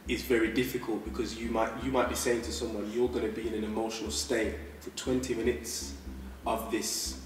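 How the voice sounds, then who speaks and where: A man's voice: lowish voice, flat delivery, brisk, few pauses, far from the mic. A man, an actor and director, explaining his craft in a casual panel chat, emphatic and repeating himself for stress. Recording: roomy echo, off-mic, faint background hum.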